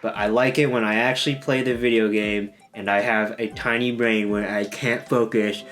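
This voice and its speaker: A man's voice: fairly low pitch, steady tone, moderate pace, a bit bright, soft background music. A man hosting a gaming video, talking in an informal, self-deprecating, joking way like a casual video essay. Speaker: host of a gaming video channel.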